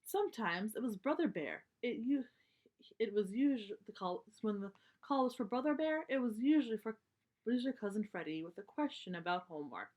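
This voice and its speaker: A woman's voice: fairly high pitch, animated, fairly quick, a little mellow. A woman reading a children's story aloud, explaining things in simple terms for young listeners.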